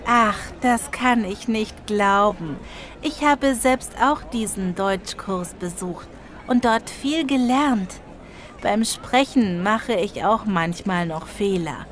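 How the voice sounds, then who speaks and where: A woman's voice: medium pitch, lightly acted. A woman playing a hard-working, blunt fellow student in a scripted language-lesson dialogue, matter-of-fact and questioning.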